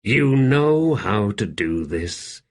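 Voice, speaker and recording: A man voicing a shrewd slave in an audiobook, calm, deferential and measured, speaking quietly. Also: on the low side, breathy edge, heavily acted. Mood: calm, coaxing. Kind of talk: audiobook character acting.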